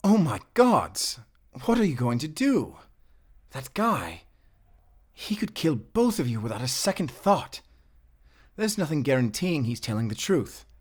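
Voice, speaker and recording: A man's voice: mid-range voice, big pitch swings, measured pace, slightly rough. A man playing a friendly, anxious tavern worker in a fantasy audio drama, casual and earnest in manner. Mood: worried, earnest.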